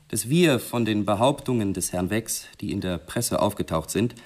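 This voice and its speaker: A man's voice: on the low side, close to an everyday voice. An actor playing a self-satisfied police spokesman, speaking officially and emphatically as at a press conference in a radio drama.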